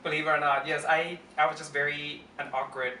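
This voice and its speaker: A man's voice: a little high, little pitch movement, a little fast, bright, forceful tone. A man in his twenties, from Las Vegas and born in Thailand, answering casually as an interview guest. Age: 20s. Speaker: interview guest, pole performer. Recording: roomy, distant mic, faint background noise.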